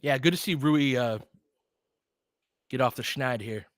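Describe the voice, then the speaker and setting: A man's voice: medium pitch, measured pace, dry recording, clean studio sound. A man doing basketball play-by-play commentary in clipped phrases, with informal asides and casual analysis.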